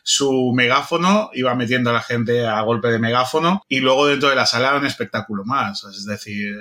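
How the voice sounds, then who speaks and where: A man's voice: mid-range voice, normal speed, pushed and bright. A man with a Spanish accent from Spain casually recounts an event, explaining as he goes and often repeating himself. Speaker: podcast guest, event organizer.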